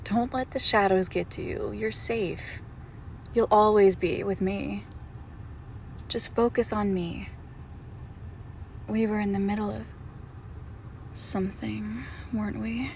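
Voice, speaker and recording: A woman playing a doting, possessive girlfriend who is secretly a monster, in an intimate, hushed roleplay audio drama. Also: mid-range voice, steady tone, vocal fry, slight background noise. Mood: soothing. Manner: slow, hushed, tender, often dropping to a whisper.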